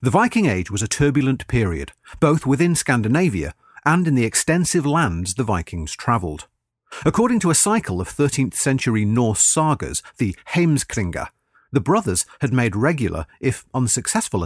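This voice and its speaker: A man's voice: medium pitch, breathy edge, dry recording, studio quality. A man reading a scripted history article aloud as a narrator.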